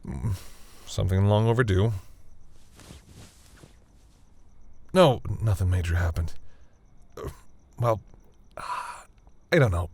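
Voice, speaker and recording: Man playing a low, husky vampire boyfriend. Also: a little mellow. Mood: hesitant, earnest. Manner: hesitant, halting, stammering delivery with sighs. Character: flustered male vampire boyfriend, tender, awkward, protective. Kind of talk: intimate audio roleplay acting.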